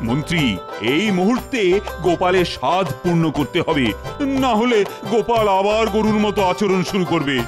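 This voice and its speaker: Flustered, high-voiced cartoon king. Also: expressive, normal speed. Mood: commanding. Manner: theatrical, imperious, exclamatory. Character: flustered, excitable Indian king holding court. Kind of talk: animated comedy voice acting. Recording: loud background music.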